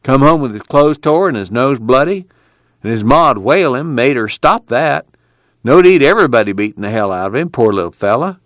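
A man voicing a bitter, weary male migrant farmhand with a rough, folksy rural drawl. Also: medium pitch, expressive, brisk, a bit bright, no room sound, studio quality, pitched above the performer's own voice, natural voice.

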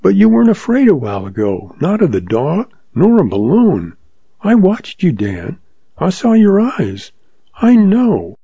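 A man voicing a spirited young ranch woman who talks quickly and teasingly, in a dull lo-fi recording. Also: lowish voice, huge pitch swings, creaky, warm tone, breathy edge, no room sound, voice pushed up, full character voice. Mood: earnest. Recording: dull lo-fi audio.

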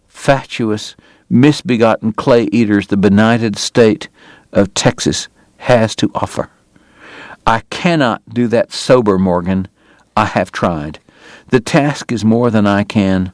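A man's voice: medium pitch, no room sound, voice pushed up, lightly acted. A man reading aloud, voicing a sick, bitter dentist from the Old West with proud, formal speech that turns angry. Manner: pained, proud, formal diction breaking into anger.

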